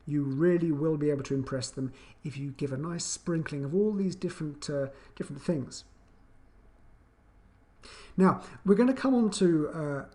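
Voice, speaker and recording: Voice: mid-range voice, darkish tone. An English teacher giving an explanatory video lesson with an emphatic, questioning, teacherly delivery.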